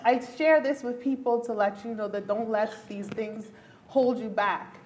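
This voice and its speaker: A woman's voice: fairly high pitch, measured pace, low-quality recording. Earnest woman from the Bahamas on a panel. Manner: earnest, emphatic, laughs often. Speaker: panelist, neurologist. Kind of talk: panel discussion answer. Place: luncheon event hall.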